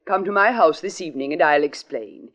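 Grand, animated society matron. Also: female voice, deep voice, fairly quick. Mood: grand, concerned. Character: haughty, anxious society matron client. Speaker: radio drama actor. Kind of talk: 1940s radio drama acting. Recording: muffled vintage radio broadcast.

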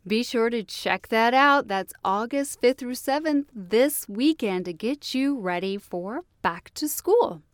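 A woman reading a public service announcement, clear and deliberate. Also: on the high side, lively intonation, dry recording.

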